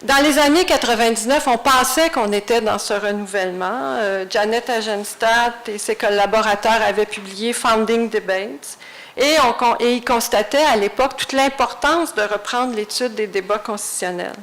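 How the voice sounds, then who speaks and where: A woman's voice: fairly high pitch, moderate pace, a bit bright, rough recording. A woman presents a prepared academic paper. Her delivery is formal and read out. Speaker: conference speaker. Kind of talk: reading a prepared academic talk. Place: university lecture hall.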